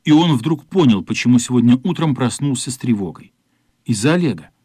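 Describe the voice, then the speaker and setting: A man's voice: medium pitch, lively intonation, fairly quick, mellow, husky, no room sound, clean studio sound. A man reading literary narration as the storyteller of a children's radio play.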